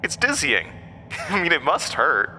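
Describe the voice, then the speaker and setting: A man's voice: fairly high pitch, wildly swooping pitch, measured pace, bright, forceful tone, a little hiss or hum, heavily acted, low-quality recording. An actor playing a mysterious, mocking, weary undying male entity in a horror audio drama, speaking in theatrical, cryptic asides. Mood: taunting, sly. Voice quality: broken-windpipe voice, borrowed from another man.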